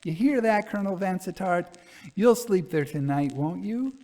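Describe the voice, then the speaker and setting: Man as an eager society lady, pleading, exclamatory, lifted lively pitch, fireside story. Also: moderate pace. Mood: excited. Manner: wheedling, exclamatory. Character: eager, pleading society woman from New York.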